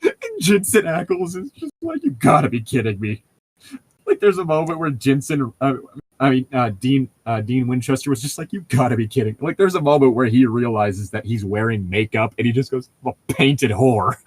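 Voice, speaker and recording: A man co-hosting a casual chat, rambling through stories in an excitable, profane and laughter-prone way. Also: medium pitch, moderate pace, husky.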